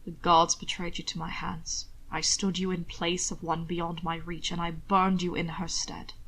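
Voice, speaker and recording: A woman voicing a tender, formal man who speaks with solemn devotion, softly and in measured phrases. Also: fairly low pitch, flat delivery, slight background noise, voice pushed down, rough recording. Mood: devoted, hushed. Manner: soft, hushed, measured formal speech. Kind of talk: audiobook reading.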